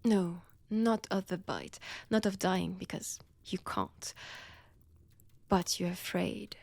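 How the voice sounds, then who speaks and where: A breathy female vampire with a French accent, played by a woman, speaks in unhurried, deliberate phrases. She is seductive and teasing with quiet menace, often slipping toward a whisper. The audio roleplay sounds intimate, close and very dry. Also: lowish voice, no room sound, exaggerated voice. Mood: taunting. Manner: slow, teasing, deliberate delivery. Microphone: close, dry microphone.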